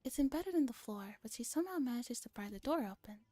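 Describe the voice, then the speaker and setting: A woman streamer reading a visual novel aloud in a hushed, dramatic ASMR style, often slipping into a whisper. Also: fairly high pitch, expressive, fast.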